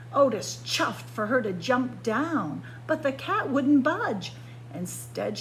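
A woman's voice: a little high, fairly bright tone, a little hiss or hum, low-quality recording. A woman reading a children's picture book aloud like a story-time librarian, expressively, with dramatic emphasis on key words.

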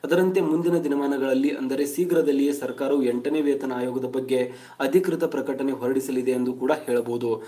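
A man's voice: mid-range voice, fairly even intonation, normal speed, dark tone. A man giving a news explainer as a voice-over, reading from an article and mixing English words into his talk. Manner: reads aloud, mixing English words into Kannada. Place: voice-over over a screen recording.

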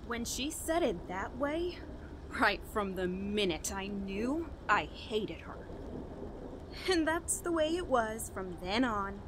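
A woman playing a despairing, bitter young murderess, halting and brooding, in an old-style radio horror drama. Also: medium pitch, animated, background noise. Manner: halting, brooding, with anguished emphasis. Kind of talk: melodramatic 1940s-style radio horror acting.

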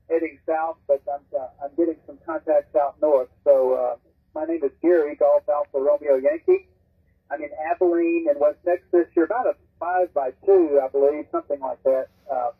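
Garbled shortwave radio, raspy American man. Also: on the high side, narrow, tinny audio. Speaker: amateur radio operator. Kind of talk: casual ham radio chat. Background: radio transmission heard through a speaker.

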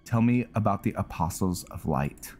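A man's voice: sits low, lively intonation, moderate pace, darkish tone, faint music behind. A man hosting a gaming video, giving casual roleplay commentary and thinking aloud.

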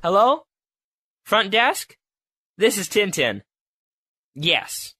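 A man's voice: high-pitched, sing-song, very slow, sharp tone, dry recording, full character voice. A man playing a plucky, polite young reporter hero, earnest, in an audio drama. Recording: clear background noise, clicks.

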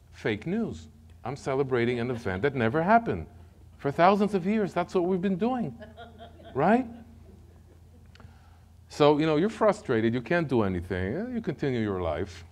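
Israeli man telling his story from the pulpit. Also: medium pitch. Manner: animated storytelling, repeats words as he goes. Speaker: guest speaker. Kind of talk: personal testimony sermon. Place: church sanctuary, large room.

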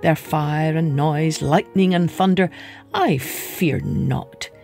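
A man voicing an ancient, towering stone statue that speaks slowly, solemnly and proudly. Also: fairly high pitch, creaky, a little mellow, faint music behind.

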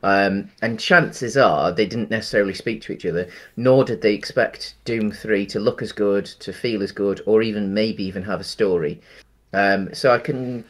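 A man's voice: fairly low pitch, moderate pace, fairly bright tone. A man in his thirties, co-hosting a casual film-review chat from home over a video call, easygoing and rambling with many ums. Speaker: podcast co-host. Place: home room.

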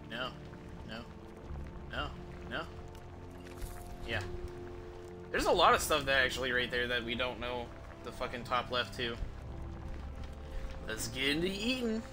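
A man in his thirties giving casual, sweary, rambling and halting commentary as he streams a game. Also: medium pitch. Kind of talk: casual livestream gameplay commentary. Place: small room at home, indoors. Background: game music.

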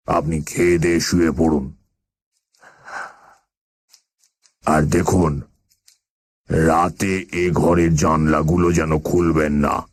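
An actor playing a sinister, forbidding landlord in a horror audio drama, speaking in an ominous, halting way. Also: male voice, very deep voice, slightly rough, heavily acted. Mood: ominous, guarded.

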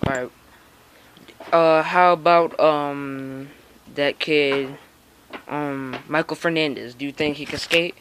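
A man asks relaxed, hesitant questions from behind a handheld camera, often pausing with "um" and "all right". His voice sits a little high for a man. The outdoor audio carries a clear hiss of background noise. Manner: laid-back, hesitant, fills pauses with "um, all right". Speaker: interviewer filming a young skater. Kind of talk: casual off-camera interview. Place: outdoors on a house porch.

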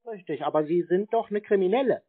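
A man's voice: high voice, quick pace, husky, dry recording. A man in an argumentative phone conversation, stubbornly needling and repeating himself as he baits the caller. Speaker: prank-calling scam baiter. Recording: narrow phone-like sound.

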